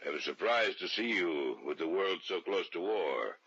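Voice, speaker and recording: Very deep, gravelly, grave innkeeper. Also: male voice, animated, sharp tone, exaggerated voice. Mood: formal, guarded. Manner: formal, measured, ominous. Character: grave, guarded foreign innkeeper of a lonely inn. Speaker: radio actor. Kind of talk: 1940s-50s radio suspense drama acting. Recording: dull, muffled old radio recording.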